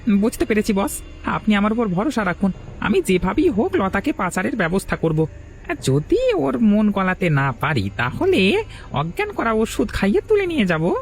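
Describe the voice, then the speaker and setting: A man's voice: high voice, wildly swooping pitch, moderate pace, a little hiss or hum, heavily acted. A man playing an eager, servile henchman to a crime boss in a cartoon story. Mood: eager, scheming. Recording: music behind the voice. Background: background music.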